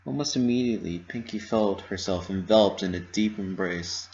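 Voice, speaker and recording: A man's voice: lowish voice, normal speed, very noisy. A man narrating a story as a scripted dramatic reading. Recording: slightly muffled lo-fi recording.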